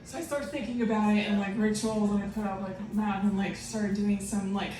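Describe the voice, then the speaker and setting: A woman's voice: mid-range voice, fairly even intonation, brisk, mellow. Rambling, swearing woman storyteller on stage. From Romanian family. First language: Romanian. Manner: rambling, swearing, self-mocking, laughing often. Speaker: comedic storyteller. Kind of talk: live comedic storytelling. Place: live performance venue with audience. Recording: echoey live room, distant mic, noisy.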